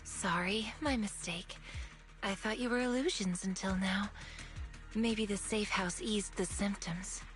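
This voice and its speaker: An actress playing a gentle, apologetic young woman in a video game, speaking in a soft, measured, earnest way. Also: mid-range voice, soft background music, close to an everyday voice. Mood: calm, wistful. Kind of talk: video game story voice acting.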